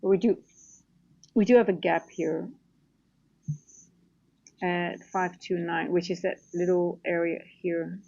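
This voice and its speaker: Tired Dutch-accented woman, gravelly lo-fi stream. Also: fairly low pitch, fairly even intonation, slow pace, long pauses, warm tone. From Netherlands. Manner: chatty, answers viewers, reads live price action. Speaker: trading coach streaming live. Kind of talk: casual live-stream commentary.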